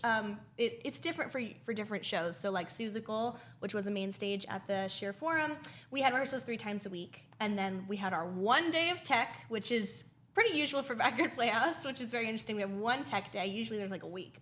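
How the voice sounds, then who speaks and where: A woman's voice: a little high, fairly bright tone. A young woman, enthusiastic and chatty, answering questions as an interview guest in casual talk. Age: young adult. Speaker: guest, youth theater director.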